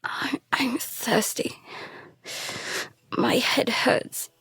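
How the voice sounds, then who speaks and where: A woman playing a frightened, guilt-ridden youngster who narrates and speaks in an audio drama, halting and stammering. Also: a little high, fairly even intonation, vocal fry, fairly bright tone, breathy edge, heavily acted. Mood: haunted, subdued. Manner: halting, stammering, breaking into whispers. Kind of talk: first-person audio drama narration with dialogue.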